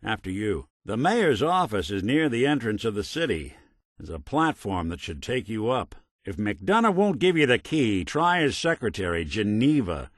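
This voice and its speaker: World-weary American robot gumshoe for a noir game: lowish, very expressive, dry wit, kind underneath. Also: male voice, moderate pace, no echo. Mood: businesslike. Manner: wry, folksy old-time gumshoe delivery. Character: weary, wry synth private detective, kind but hard-boiled. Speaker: video game voice actor. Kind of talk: noir detective game dialogue.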